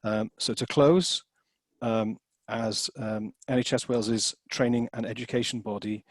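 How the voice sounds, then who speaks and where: A man giving a formal presentation at an online annual meeting, pausing often with fillers and restarts. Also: mid-range voice, fairly even intonation, no echo. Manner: hesitant, many fillers and restarts.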